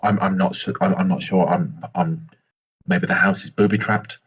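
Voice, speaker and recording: A man's voice: low-pitched, fairly even intonation, moderate pace, husky, muffled recording. A man playing a tabletop roleplay game, chatting casually and hesitantly, sometimes speaking in character.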